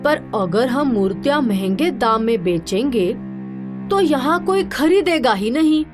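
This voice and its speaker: Woman as determined young village brother, high-pitched, unhurried, children's story cartoon, music behind. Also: animated. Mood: matter-of-fact. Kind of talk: animated children's story dialogue.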